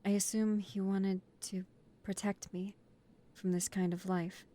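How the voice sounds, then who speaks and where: A woman's voice: mid-range voice, flat delivery, measured pace, mellow, close to an everyday voice. A woman playing an earnest, devoted new mafia recruit and loving partner in a romantic audio roleplay. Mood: apologetic. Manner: earnest, emotional, polite to superiors.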